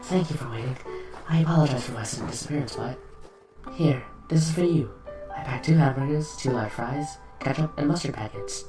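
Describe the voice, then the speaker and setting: A man's voice: mid-range voice, a little fast, natural voice. A man playing a polite, apologetic, earnest bartender with formal, hesitant speech in a fan comic dub. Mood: kindly. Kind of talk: fan comic dub, dramatic acting with reflective narration. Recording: roomy, distant microphone, music behind.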